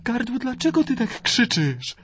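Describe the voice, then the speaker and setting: An actor playing the bewildered, frightened mind of a newborn in a radio play, asking hesitant questions. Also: male voice, very high-pitched, very animated, unhurried, a bit bright, slight background noise, full character voice. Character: newborn's bewildered consciousness, male, fearful.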